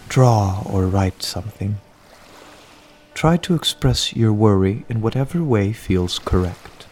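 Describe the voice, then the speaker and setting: A man performing a gentle, caring relaxation guide with a low voice. He leads a guided meditation very slowly, calm and reassuring, leaving room between phrases. Soft music plays behind him. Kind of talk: guided meditation, slow soothing script.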